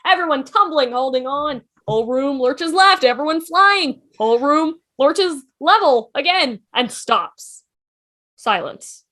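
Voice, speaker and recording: Female narrator, high register, punchy dramatic reading of stage directions for an amateur script read. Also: lively intonation, unhurried, a bit bright. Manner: punchy, reads sound effects aloud.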